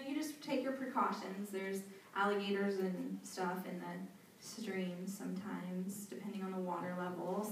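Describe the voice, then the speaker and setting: Chatty young woman in an echoey hall. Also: mid-range voice. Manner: chatty, animated, laughs often, many "you know" and "like" fillers. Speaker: interviewee, volunteer back from abroad. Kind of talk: conversational interview answers. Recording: echoey hall, distant mic, faint noise.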